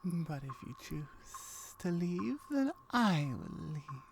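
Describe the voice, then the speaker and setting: A woman voicing a lonely, guarded gorgon in ASMR roleplay, speaking softly in hesitant, formal phrases that often drop near a whisper. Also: lowish voice, very animated, slow pace, vocal fry, slight background noise, exaggerated voice. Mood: guarded, calm. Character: lonely, guarded female gorgon, wary yet curious.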